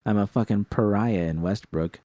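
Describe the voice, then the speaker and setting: A man voicing a weary, blunt female mercenary in a story reading, in a flat and joyless manner. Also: lowish voice, normal speed, no room sound, lightly acted. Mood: glum.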